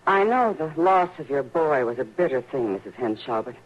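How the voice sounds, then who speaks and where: Frontier woman for radio western: frank, earnest, very expressive intonation, dull and slightly noisy vintage sound. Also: rumbling low, moderate pace. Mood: earnest pleading. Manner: earnest, pleading. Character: Kitty Russell, frank, concerned, plain-spoken frontier woman. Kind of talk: old-time radio western acting.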